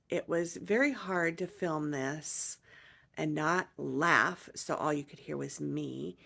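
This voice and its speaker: A woman chatting casually to viewers as a vlogger, halting and informal as she introduces a clip. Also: lowish voice, creaky.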